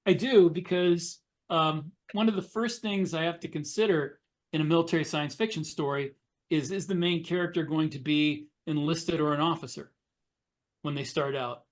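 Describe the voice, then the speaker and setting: A man's voice: fairly high pitch, normal speed. A middle-aged man, a podcast co-host, explaining things in a casual conversational way.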